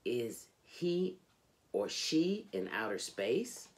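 Woman reading as a slow, wondering young schoolboy. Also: extremely low pitch, very animated, heavily acted. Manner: musing, questioning. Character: excited, curious young schoolboy wondering aloud.

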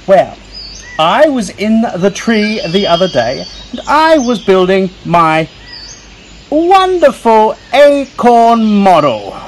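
A man voicing an excitable, talkative young squirrel who tells children a story in a theatrical, self-important way. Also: high-pitched, full character voice, low-quality recording. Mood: indignant storytelling. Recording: faint noise with birdsong and crickets.

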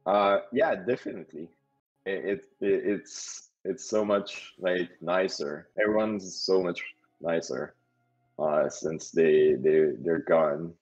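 A man with a French Canadian accent running a tabletop game on a video call, halting with many fillers. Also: low voice, darkish tone, highs cut off, faint music behind. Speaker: game master.

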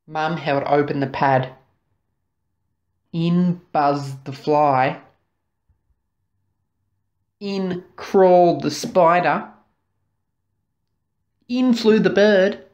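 A woman narrating a children's story expressively, dramatic and deliberate, drawing out key words. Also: low voice, darkish tone, muffled recording. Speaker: storybook reader.

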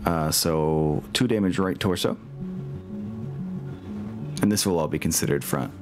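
A man's voice: a bass voice, a little slow. A man acting as game master of a streamed tabletop game, thinking aloud as he narrates the results. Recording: music behind.

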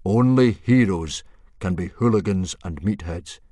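A man voicing a grim middle-aged Viking chief who makes solemn pronouncements in a booming voice. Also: unhurried, dark tone, no echo. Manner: bellowing, grim and formal.

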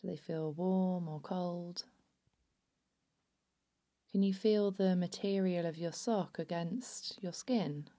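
A woman narrating a guided body scan meditation in a soothing, unhurried, hushed manner. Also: on the low side.

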